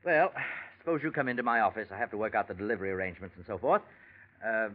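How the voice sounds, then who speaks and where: An actor playing a scheming antique dealer in 1940s radio drama, with flattering, hesitant sales patter. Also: male voice, fairly low pitch, fast, close to an everyday voice. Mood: ingratiating. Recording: muffled vintage radio recording.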